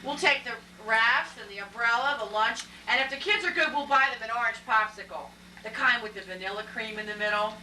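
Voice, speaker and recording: A woman's voice: on the high side, fairly quick, few pauses, bright, forceful tone. A woman voicing a wry, weary suburban mother in an amateur puppet show. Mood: matter-of-fact. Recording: echoey, noisy room from far off.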